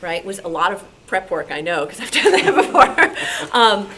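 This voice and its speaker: A woman's voice: medium pitch, big pitch swings, moderate pace, very noisy. A woman, an actor and former teacher, speaking informally in a group discussion at a club meeting.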